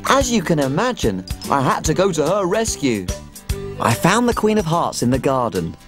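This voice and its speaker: A performer voicing a tiny cheerful cook on a children's show, telling a story in an excitable way. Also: medium pitch, moderate pace, music in the background, natural voice. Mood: storytelling. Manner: bouncy, excitable.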